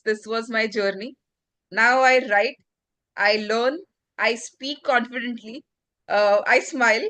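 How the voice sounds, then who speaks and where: A woman's voice: a little high, a bit bright, dry recording. A woman sharing a heartfelt personal story as a guest, encouraging others and using many fillers. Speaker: guest community member.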